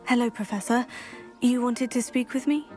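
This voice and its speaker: A woman's voice: fairly high pitch, fairly even intonation, normal speed, music in the background, natural voice. An actress playing a polite, earnest teenage girl studying magic in a video game. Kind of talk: video game cutscene acting.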